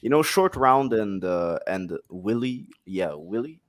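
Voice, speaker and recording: Man, movie reviewer, podcast guest, fairly low voice, relaxed, easygoing. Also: a little slow. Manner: laid-back, relaxed. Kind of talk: casual podcast chat.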